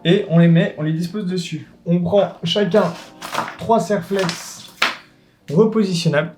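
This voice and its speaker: A man's voice: sits high, unhurried, music in the background. Chatty man walking through a build. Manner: relaxed, joking, explains step by step. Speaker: tutorial host. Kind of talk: casual DIY tutorial with banter.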